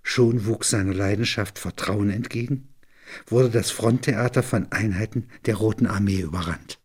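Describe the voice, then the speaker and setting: A man's voice: on the low side, normal speed, darkish tone, slightly rough. A man narrating in a literary register, as a reader and commentator.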